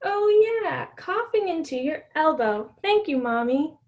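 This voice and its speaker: Storybook little girl played by a woman, eager, dutiful, high-pitched. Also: expressive, measured pace, highs cut off.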